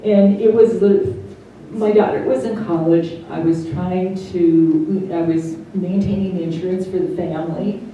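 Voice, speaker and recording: A middle-aged woman giving a personal testimony, speaking slowly and haltingly with carefully over-articulated consonants. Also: on the low side, fairly even intonation, mellow. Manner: slow and halting, many pauses. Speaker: interviewee. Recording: roomy, distant mic, faint hiss.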